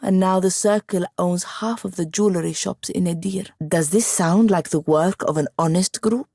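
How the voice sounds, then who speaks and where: Fantasy game wizard, young woman: lowish, fry-laden, proud and wry, formal wording. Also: moderate pace, mellow, no echo. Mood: wary, accusing. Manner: dry, wry, formal phrasing. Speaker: game companion character. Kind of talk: scripted video game dialogue.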